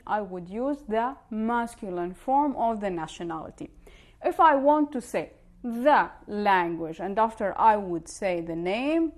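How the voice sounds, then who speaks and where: A woman with a Levantine Arabic accent, a native Arabic speaker, giving an informal language lesson and explaining through examples. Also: on the high side. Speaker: language teacher.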